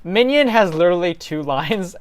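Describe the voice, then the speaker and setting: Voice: mid-range voice, lively intonation, normal speed. A co-host chatting casually and explaining things in an easygoing way while organizing a story reading. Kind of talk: casual chat.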